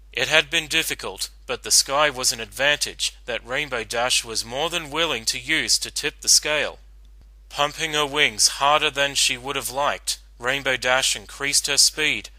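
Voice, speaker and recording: A man's voice: medium pitch, sharp tone, no echo, rough recording. A man narrating a written story as a dramatic reading.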